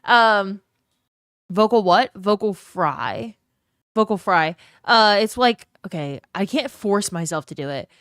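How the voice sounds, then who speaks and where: A woman streamer, chatting casually and rambling, with a slight vocal fry at the ends of her phrases. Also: medium pitch, lively intonation, slow, a bit bright, no room sound, clean studio sound. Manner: chatty, rambling, laughs often, thanks viewers by name. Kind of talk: casual live-stream chat.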